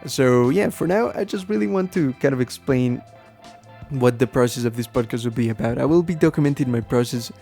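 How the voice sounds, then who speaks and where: A man whose first language is Spanish, explaining things casually and haltingly as a podcast host, thinking aloud as he goes. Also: mid-range voice, dark tone. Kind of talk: casual unscripted explaining to listeners.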